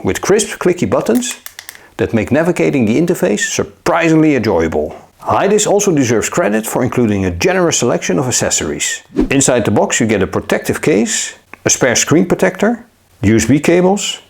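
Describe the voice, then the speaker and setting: A man narrating a scripted gadget review in a measured, upbeat way. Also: a little high, huge pitch swings, gravelly, slightly rough. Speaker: tech reviewer.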